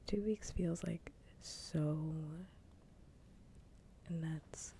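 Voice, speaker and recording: Whispering low-voiced woman ASMR streamer. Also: steady tone, extremely slow, a little hiss or hum. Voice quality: soft, breathy whispering. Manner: casual, repetitive, mildly swearing. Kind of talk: whispered ASMR livestream chat. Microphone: close ASMR microphone.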